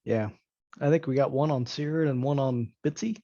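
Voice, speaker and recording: A man's voice: mid-range voice, moderate pace, warm tone, dry recording, dull audio. A man talking casually as a player in a tabletop game, heard over voice-chat audio.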